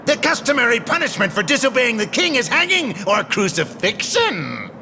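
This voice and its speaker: A man playing a nasty, impatient, bullying henchman with a gravelly, sharp voice in a video game. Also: very high-pitched, sing-song, normal speed, a little hiss or hum, heavily acted. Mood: menacing. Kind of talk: anime-style video game voice acting.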